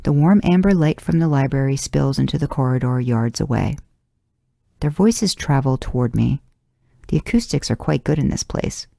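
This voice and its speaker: A woman's voice: very deep voice, animated, normal speed, creaky, warm tone, no room sound, studio quality. A woman reading a first-person story aloud as narration. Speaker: podcast host reading fan fiction aloud.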